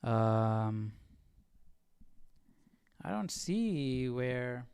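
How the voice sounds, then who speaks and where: Casting: male study-stream host, smooth clear voice, close dry sound, informal and questioning. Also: mid-range voice, very animated, extremely slow, a little mellow, no echo. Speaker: Bible study livestream host. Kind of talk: casual thinking aloud, reading scripture.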